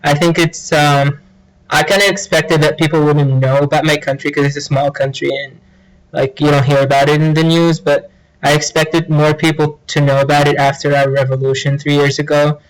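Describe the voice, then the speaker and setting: A man's voice: on the high side, flat delivery, normal speed, low-quality recording. A young man from Tunisia, an international student explaining himself earnestly in an interview. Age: young adult. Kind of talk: documentary interview.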